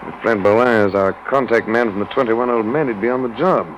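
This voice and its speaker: A man playing a jaunty, exclamatory young British gentleman adventurer in a 1940s radio serial. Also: medium pitch, a little fast, lo-fi sound, slight background noise, natural voice. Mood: breezy, curious. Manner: chipper, exclamatory. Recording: old radio recording with music behind.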